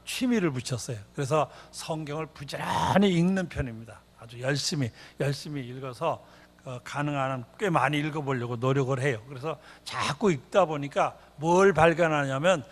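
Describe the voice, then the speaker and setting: An older retired pastor, born in North Korea, preaching a revival sermon in a church with repeated rhetorical questions. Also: male voice, on the high side, normal speed. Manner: sings a hymn, then preaches with repetitive rhetorical questions. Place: church sanctuary. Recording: long church reverb.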